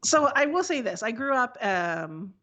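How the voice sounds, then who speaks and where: A woman from the Texas Panhandle co-hosting a casual chat, blunt and quick with asides. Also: on the high side, sing-song, a bit bright. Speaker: podcast co-host.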